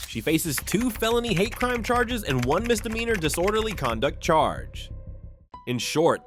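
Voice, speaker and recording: A man narrates a news story in a measured, scripted way. His voice sits a little high and moves a lot in pitch. A faint music bed plays under him. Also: fairly bright tone.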